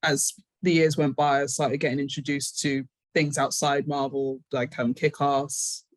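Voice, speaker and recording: A woman's voice: very deep voice, level tone, measured pace, no room sound. A British woman speaking casually as an interview guest, a little hesitant and self-deprecating.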